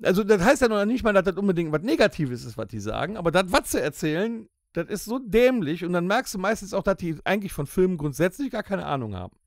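Male podcast host, high voice, emphatic blunt ranter, very dry close sound. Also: big pitch swings, quick pace, hardly any pauses, dry recording. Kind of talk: casual banter.

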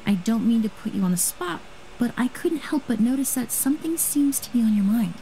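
An actress playing a gentle, attentive young woman in a game, polite and measured. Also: on the high side, lively intonation, soft background music, poor audio. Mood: gentle concern.

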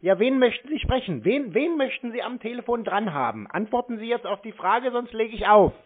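A man's voice: very high voice, lively intonation, brisk. A man who banters on the phone in a brusque, sarcastic, mocking way, needling the caller. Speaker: scambaiting host taking scam calls. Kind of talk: teasing, confrontational phone banter. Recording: tinny, band-limited phone line.

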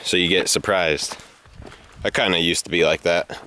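A man's voice: deep voice, steady tone, normal speed, pushed and bright. A young man chatting casually and slangily in a laid-back, fragmented way on a camera mic outdoors on a trail. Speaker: hiker filming a vlog. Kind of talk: casual chat. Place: outdoors on a forest mountain trail. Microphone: handheld camera microphone. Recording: outdoor trail recording with handling noise.

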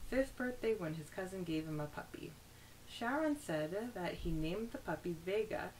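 A woman's voice: on the low side, low-quality recording. A woman co-hosting a podcast, summarizing a story chapter and then discussing it in casual chat. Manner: summarizes plot steadily, then chats animatedly. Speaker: podcast co-host. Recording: faint hiss.

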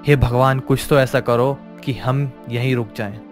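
An emotional young younger brother, voiced by a man, talks in a plaintive, everyday way with a mid-pitched voice. It is cartoon drama with music underneath. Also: little pitch movement, a little slow, natural voice. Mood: pleading. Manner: plaintive, colloquial. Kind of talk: animated story dialogue.

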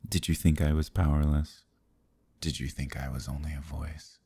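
Seductive demon king villain, ASMR roleplay: rock-bottom pitch, breathy, smug and quietly menacing. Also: fairly even intonation, full character voice. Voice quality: very deep, gravelly, breathy. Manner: slow, smug, softly threatening. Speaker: voice actor.

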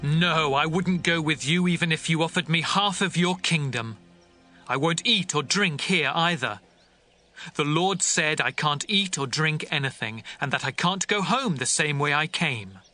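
An actor playing a stern, resolute prophet, declamatory and firm, in a dramatised scripture reading. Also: male voice, a little high, a little slow, bright, forceful tone, faint music behind. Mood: firm refusal. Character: stern, resolute male prophet delivering divine warnings.

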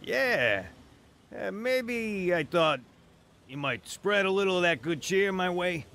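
A down-on-his-luck hustler in a radio drama. His voice is bright, highish and animated as he wheedles, with faint ambient noise behind. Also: slow, full character voice. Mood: wheedling. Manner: wheedling, hesitant. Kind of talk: radio drama dialogue acting.

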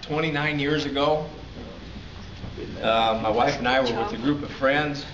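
A man's voice: mid-range voice, moderate pace. An older man telling a story with chuckles and rambling thanks to coworkers at a company picnic. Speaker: manager speaking before a pie in the face. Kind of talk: casual speech to coworkers. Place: large indoor hall at a company picnic. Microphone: far camera. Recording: echoey hall from far off, dull lo-fi camera audio. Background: noisy crowd of coworkers.

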